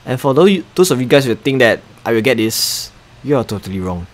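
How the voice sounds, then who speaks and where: A man's voice: mid-range voice, very animated, a little fast. A young Singaporean man with a Singaporean accent, chatting casually while live-streaming a mobile strategy game, muttering asides and reading viewer chat aloud.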